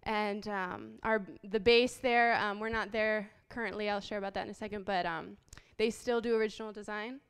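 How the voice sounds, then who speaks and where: Woman in her late 20s from haiti sharing her testimony, super clear smooth voice, earnest, lots of ums. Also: mid-range voice, steady tone, moderate pace, few pauses. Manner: earnest, heartfelt storytelling with frequent ums. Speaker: guest speaker. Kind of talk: personal testimony talk.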